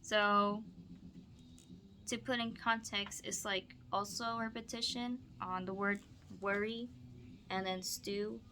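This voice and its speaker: A woman with a bright, forward voice takes her time as a teacher demonstrating a read-aloud. Her delivery is expressive and storybook-like, with casual explanations for a student, and there is noticeable background noise in the recording. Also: mid-range voice, slow. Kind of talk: picture-book read-aloud with teaching asides.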